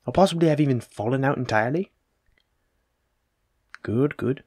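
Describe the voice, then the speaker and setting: A man's voice: mid-range voice, no echo, studio quality. A man playing a courteous, evasive, self-important plague doctor in an audio roleplay, speaking formally and fussily in old-fashioned wording. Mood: clinical, courteous. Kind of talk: hobby audio roleplay acting, addressed to the listener.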